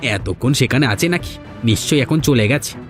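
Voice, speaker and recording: Man playing tattling henchman, officious, aggrieved, mid-range voice, cartoon folk tale, quiet music behind. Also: medium pitch, lively intonation, normal speed, natural voice. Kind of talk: Bengali animated folk-tale dialogue.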